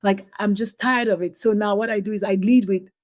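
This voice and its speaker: A woman's voice: medium pitch, steady tone, fairly quick, darkish tone. A middle-aged Nigerian woman physician speaking as a podcast guest, telling stories earnestly and animatedly, often restarting her sentences. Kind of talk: conversational interview.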